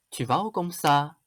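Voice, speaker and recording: A woman's voice: low voice, gravelly, slight background noise, exaggerated voice. A woman playing a bossy, vain, suspicious stepmother in a radio drama, with a scolding, insistent manner. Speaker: student actor.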